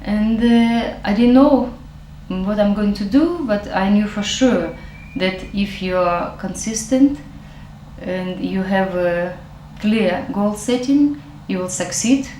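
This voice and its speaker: Slow-spoken middle-aged woman from Ukraine. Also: medium pitch, warm tone, slight background noise. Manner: slow, halting, many fillers. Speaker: network marketing distributor. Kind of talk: personal testimonial. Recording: roomy echo, faint music.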